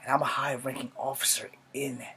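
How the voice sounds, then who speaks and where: Clear background noise sits under a man's performance of a young male soldier speaking to an elder. He is solemn and proud, in a mid-range voice that is hushed and halting. The delivery is an earnest dramatic monologue. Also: medium pitch, flat delivery. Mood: solemn, determined.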